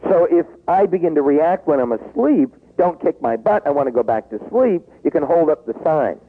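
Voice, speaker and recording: A man in his sixties talking in a taped interview, acting out quoted pleas and dropping low when he turns reflective. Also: animated, normal speed, breathy edge. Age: 60s. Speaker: business author guest. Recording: tinny phone-like tape.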